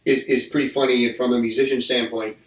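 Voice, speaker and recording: A man's voice: mid-range voice, little pitch movement, quick pace. Man, podcast host, casual chat, rambling, restarts sentences, echoey room, distant mic.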